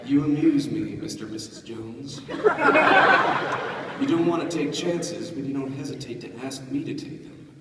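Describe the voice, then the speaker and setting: Casting: man playing suave moneyed hero, doubtful and unafraid; mid-pitched, old radio serial before a loud crowd. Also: normal speed, very noisy, lightly acted. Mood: amused, wary. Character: wealthy adult gentleman, skeptical, suave and self-assured. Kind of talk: 1940s radio drama acting read live on stage. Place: large indoor convention panel room. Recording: echoey convention hall, distant mic, loud crowd.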